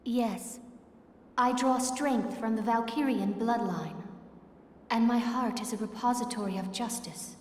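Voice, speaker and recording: An actress playing a dutiful, reserved young princess in a video game, speaking formally and with stately restraint. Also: female voice, a little high, a little slow, darkish tone, slight background noise, lightly acted. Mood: solemn, soft. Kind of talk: video game cutscene acting.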